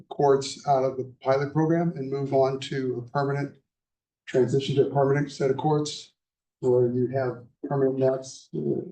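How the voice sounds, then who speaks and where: An older man, soft-spoken and halting with many fillers, giving a public comment at a city meeting. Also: medium pitch. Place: council chamber. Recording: echoey chamber, mic at a distance.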